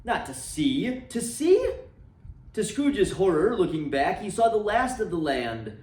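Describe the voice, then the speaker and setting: A man reading a classic novel aloud for a library, dramatic and expressive, letting his voice sink low and soft at the ends of phrases. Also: a little slow, reverberant, far from the mic.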